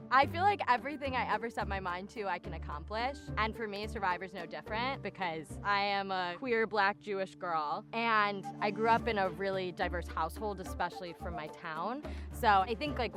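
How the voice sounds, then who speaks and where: An American woman in her twenties talking casually in a contestant interview. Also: mid-range voice. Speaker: reality show contestant. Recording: loud music bed. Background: music.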